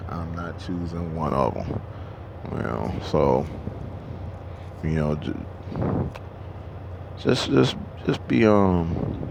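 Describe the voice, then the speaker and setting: Very deep, gravelly-voiced man in his thirties. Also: noisy background. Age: 30s. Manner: rambling and profane, with many restarts and fillers. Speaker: truck driver. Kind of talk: casual monologue to camera. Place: at a truck stop.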